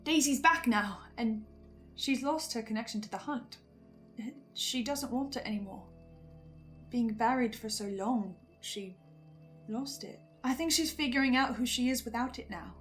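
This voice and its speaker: A woman with a fairly high voice gives a reflective, earnest recap. She muses in short, halting phrases, with faint music underneath. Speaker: fan commentator playing a researcher.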